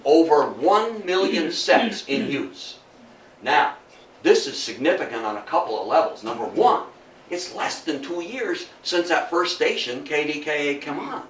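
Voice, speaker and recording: An older man giving a public history lecture in a theatrical, emphatic storytelling manner, with dramatic pauses and rhetorical questions. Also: on the high side, expressive, a bit bright. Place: indoor talk room before an audience. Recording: roomy, distant mic, faint noise.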